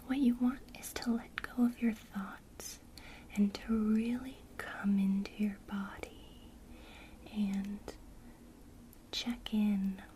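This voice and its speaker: A woman whispering a gentle witchcraft lesson in ASMR style, speaking directly to the listener. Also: on the high side, poor audio. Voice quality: soft unvoiced whisper throughout. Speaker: ASMR creator teaching witchcraft.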